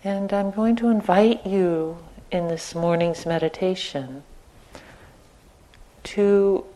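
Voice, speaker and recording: Very slow, mellow woman guiding meditation. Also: on the low side. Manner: unhurried, gentle phrasing with long pauses. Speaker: meditation teacher. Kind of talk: guided meditation instruction. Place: meditation retreat center.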